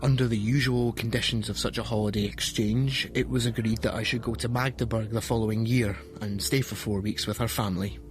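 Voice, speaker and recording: A man's voice: on the low side, steady tone, brisk, few pauses, over music, lightly acted. An actor playing an earnest young man, reading out his formal wartime statement for a radio documentary. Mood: earnest, measured.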